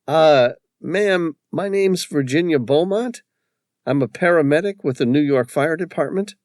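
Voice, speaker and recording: A man's voice: fairly high pitch, measured pace, a little mellow, dry recording, clean studio sound, pitched above the performer's own voice, natural voice. A male narrator voicing a wry, practical woman paramedic in a storybook reading. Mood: professional.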